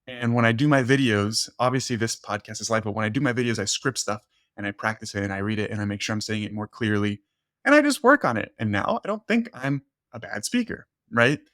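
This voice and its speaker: Casual podcast host, dry sound. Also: male voice, lowish voice, lively intonation, a bit bright, no room sound. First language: Spanish. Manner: fast, filler-heavy, says "right?" often. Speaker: podcast host, nutrition coach.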